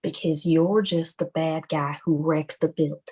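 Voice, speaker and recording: A woman plays a matter-of-fact cartoon game character. Her voice is lowish and husky, with a dismissive way of putting things. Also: level tone, a little slow, darkish tone. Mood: scornful. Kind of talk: animated film script table read.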